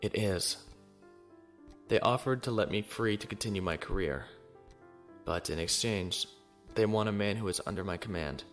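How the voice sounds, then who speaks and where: A man's voice: lowish voice, moderate pace, long pauses, faint music behind, lightly acted. A man playing a conflicted, honorable young naval officer in a sci-fi audio drama, earnest and brooding. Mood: earnest, troubled.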